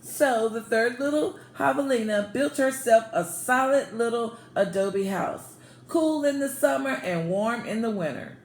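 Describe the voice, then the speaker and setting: A woman reading a picture book aloud as a storyteller, expressive and prompting young listeners. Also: on the high side, a little slow. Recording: echoey room with faint background noise.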